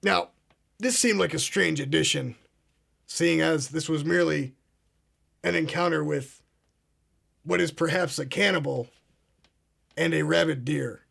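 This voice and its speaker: Brief: a man doing a pompous conspiracy show host, gravelly and husky, a bit high. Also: measured pace, pauses often, exaggerated voice. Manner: grandiose, ominous, addresses "ladies and gentlemen". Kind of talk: comedic mock talk show.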